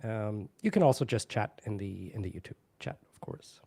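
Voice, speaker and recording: A man's voice: on the low side, moderate pace, gravelly, husky. A man hosting a live stream, chatting casually and loosely with fillers and restarts. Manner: relaxed, full of fillers and restarts. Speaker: event host.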